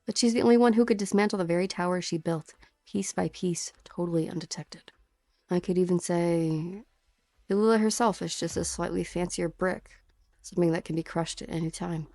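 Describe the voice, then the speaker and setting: A woman's voice: fairly low pitch, mellow, dry recording. A woman in a fan dub of a game, playing an earnest, defiant young mercenary with an intense, insistent delivery. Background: music.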